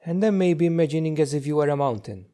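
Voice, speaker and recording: A man leading a guided meditation as a teacher, speaking gently and slowly with long pauses. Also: on the high side, lively intonation. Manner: slow, hushed, gentle delivery with long pauses.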